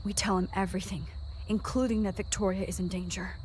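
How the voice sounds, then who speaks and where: An actress playing an earnest, anxious young time traveler in a video game, speaking with an American accent and hesitant, sincere emotion. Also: female voice, medium pitch, steady tone, fast, darkish tone, a little hiss or hum, close to an everyday voice. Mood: urgent, earnest.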